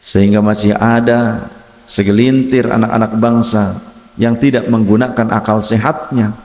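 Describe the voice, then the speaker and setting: Deep-voiced Indonesian preacher in an echoey hall. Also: male voice, flat delivery, a little mellow. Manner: rhetorical, repetitive, deliberate phrasing. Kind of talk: patriotic religious oratory. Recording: echoey hall with long reverb.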